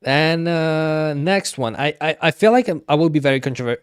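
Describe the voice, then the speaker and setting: A man's voice: on the high side, normal speed, smooth, dry recording, studio quality. A man giving casual gaming commentary as a streamer, often restarting and repeating his words hesitantly. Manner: hesitant, repetitive, stammering restarts.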